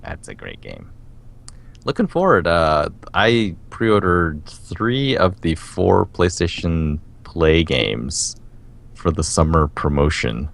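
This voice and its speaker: Deep-voiced man chatting with lively swings. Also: takes it slowly, vocal fry. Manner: laughs often while talking. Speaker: podcast co-host. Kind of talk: casual video game chat.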